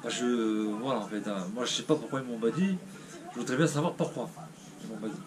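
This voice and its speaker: A man speaks to his viewers with the stuffy, blocked sound of a cold. He rambles and hesitates, restarting his sentences with a downcast air. His voice sits at an ordinary pitch, over a clear layer of background noise. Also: fairly quick. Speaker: YouTuber. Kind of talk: casual vlog talking to viewers.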